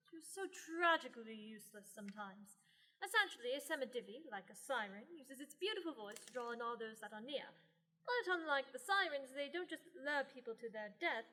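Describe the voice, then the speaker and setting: A woman's voice: a little high, expressive, moderate pace, bright, forceful tone. A young woman playing a long-lived sorceress in a radio play, blunt and dry with sardonic, theatrical asides. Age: young adult. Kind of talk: scripted radio play acting.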